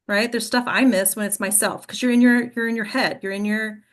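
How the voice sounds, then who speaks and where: A woman's voice: a little high, fairly even intonation, a little fast. A woman teaching a class as an acting coach, explaining informally and often checking in with the listeners. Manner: explanatory, checks in with "okay?" and "right?".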